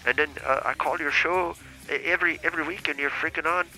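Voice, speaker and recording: Guy putting on a grumpy old priest, bright and sharp, kinda high, keeps stammering and accusing. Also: fairly even intonation, fast, faint music behind, poor audio. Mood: indignant. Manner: blustery, accusing, stammers and repeats himself. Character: cranky foul-mouthed older male priest caller. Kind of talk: comedy character call-in.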